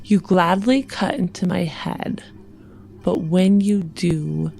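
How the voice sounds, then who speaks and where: A woman's voice: fairly low pitch, fairly even intonation, takes it slowly, vocal fry, dark tone. A woman playing a tabletop role-playing game, improvising dialogue and chatting casually. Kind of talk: improvised in-character role-play and casual chat.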